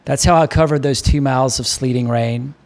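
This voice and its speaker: Male audiobook narrator, mid-pitched. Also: fairly even intonation, a little fast, a little mellow. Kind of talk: scripted first-person novel narration.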